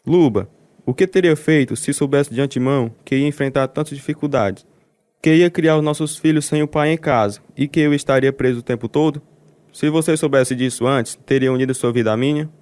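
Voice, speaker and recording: An actor playing a devout imprisoned preacher and tender husband in a radio drama, speaking earnestly. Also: male voice, medium pitch, brisk, darkish tone, no room sound, lightly acted.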